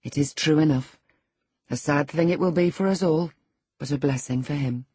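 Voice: medium pitch, moderate pace, warm tone, slightly rough, dry recording. A narrator voicing a blunt, firm, disapproving female housekeeper in an audiobook of a classic novel. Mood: grave. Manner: frank, firm.

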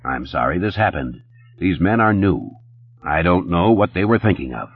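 A man's voice: sits low, big pitch swings, normal speed, no room sound, narrow, tinny audio, heavily acted. A man voicing an older, suave Hollywood host with a dramatic, polite manner. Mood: smoothly apologetic. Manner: theatrical, polite.

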